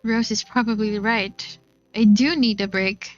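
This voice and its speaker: A woman streamer reading visual novel narration aloud in an expressive storytelling manner. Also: mid-range voice, measured pace, muffled recording. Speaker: gaming streamer. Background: faint game music.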